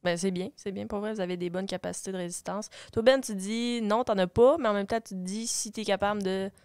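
A woman's voice: medium pitch, steady tone, a little fast, a little mellow, clear, resonant tone, no room sound. Young woman host, Quebec French accent, dry sound. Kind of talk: casual panel discussion. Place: small radio studio. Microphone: studio boom microphone with headset.

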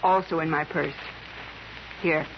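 A woman's voice: low-pitched, fairly even intonation, unhurried. An actress playing a composed, businesslike female gem dealer in a 1950s radio drama, with a clipped delivery. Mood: composed, confiding. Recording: muffled 1950s radio recording with hiss.

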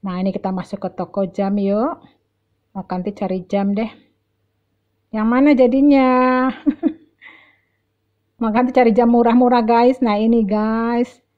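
A woman's voice: fairly high pitch, fairly even intonation, unhurried, long pauses, phone-quality sound. A middle-aged woman giving a chatty, casual walk-and-talk shopping vlog, addressing viewers directly and often repeating words. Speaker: vlogger. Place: large indoor shopping mall.

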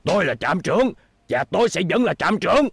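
An actor playing a stubborn, gruff old station chief in a cartoon dub, emphatic and unyielding. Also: medium pitch, very animated, fairly quick, no room sound, clean studio sound, exaggerated voice. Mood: defiant. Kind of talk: Vietnamese cartoon dubbing. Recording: background music under dubbed dialogue.

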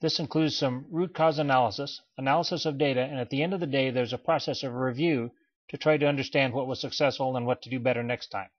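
A man giving a scripted voice-over for a training slideshow, in a measured, explanatory way. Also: mid-range voice. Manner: measured, even explanatory delivery. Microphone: voice-over, not recorded in pictured place. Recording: dull lo-fi voice-over.

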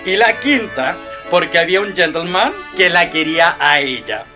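An actor with a Chilean accent playing a boisterous, cheerful, middle-aged globetrotter in a radio play. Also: male voice, a little high, normal speed, over music, lightly acted. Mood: jovial bragging. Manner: exuberant, theatrical.

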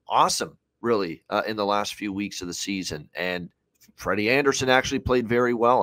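Lower-voiced man giving sports analysis. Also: a bit bright, no room sound. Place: indoor room on a video call.

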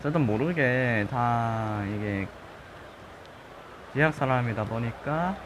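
A man with a regional Korean accent chatting casually over a football video game, his voice a little husky from just waking up, breaking into loud excited shouts. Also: mid-range voice, slow, noisy background. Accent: regional Korean dialect. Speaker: gaming channel host. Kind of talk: live gameplay commentary. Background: game music.

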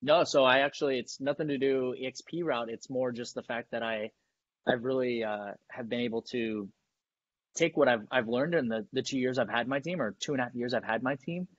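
A man in his thirties from San Diego, a team leader who explains his business in a brisk, matter-of-fact way over a video call from his dining room. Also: mid-range voice, lo-fi sound. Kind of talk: casual video-call interview. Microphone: earbuds on video call.

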